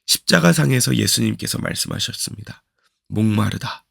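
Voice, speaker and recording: Hoarse gravelly-voiced man preaching. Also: on the low side, animated, fairly bright tone, no echo, studio quality. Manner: slow, earnest preaching with repeated reflective questions. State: hoarse from a cold. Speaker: pastor. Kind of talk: daily devotional sermon.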